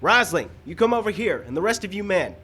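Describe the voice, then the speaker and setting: Man playing a husky, argumentative frontier townsman. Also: high voice, sing-song, a little fast, bright, forceful tone, heavily acted. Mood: agitated, defiant. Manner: insistent, argumentative. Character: anxious, defiant frontier townsman challenging authority. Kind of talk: old-time radio western acting.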